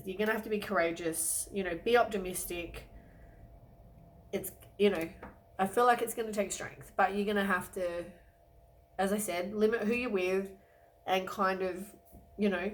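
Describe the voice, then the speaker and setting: A woman talks through a weekly tarot reading in a loose, rambling way, filling pauses with ums. Her voice is croaky from a cold. She sits in a middling pitch for a woman. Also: slight background noise. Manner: relaxed, rambling, many ums and hedges. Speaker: tarot reader.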